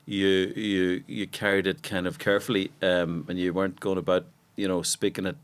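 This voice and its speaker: A man's voice: sits low, level tone, normal speed, clean tone. A middle-aged man, a musician guest on a podcast, telling long, enthusiastic anecdotes in casual conversation. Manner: expansive, enthusiastic anecdotes with repetitions.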